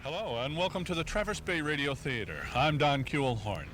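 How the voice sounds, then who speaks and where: A man hosting a casual on-location radio show, asking curious, joking questions with hesitant pauses. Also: on the high side, lively intonation, fairly bright tone. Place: on location, vehicle and outdoor noise behind. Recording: noisy old home-taped radio, road noise.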